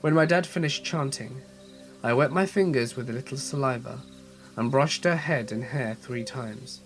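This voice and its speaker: A man narrating horror stories as a channel host, reading in a measured, steady way with a voice slightly off from a cold. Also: medium pitch, faint music behind. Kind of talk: scripted horror story narration.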